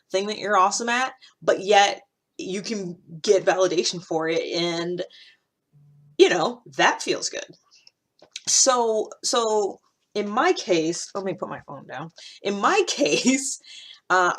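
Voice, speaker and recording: A woman's voice: low voice, a bit bright. A woman in her thirties giving a casual explanatory talk to camera, thinking aloud with circling asides. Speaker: personality-typing video host. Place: small indoor room.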